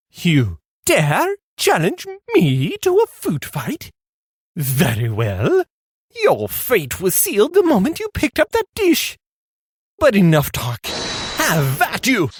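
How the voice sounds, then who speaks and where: A man's voice: fairly high pitch, wildly swooping pitch, takes it slowly, slightly rough, no room sound, studio quality, heavily acted. A man acting a pompous, stern and irascible village mayor in a fan radio play, with formal, sarcastic scolding. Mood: furious, challenging.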